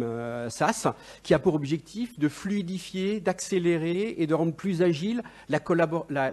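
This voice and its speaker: A man pitching his startup on stage, hesitant, with many fillers and restarts. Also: a little mellow. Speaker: startup founder. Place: event stage.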